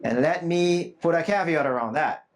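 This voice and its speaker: A man's voice: fairly high pitch, moderate pace. A man giving a live conference talk to a room of educators, earnest and emphatic, with self-deprecating asides. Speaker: conference presenter. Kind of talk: live summit talk to an audience. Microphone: not loud at first, asked to speak louder.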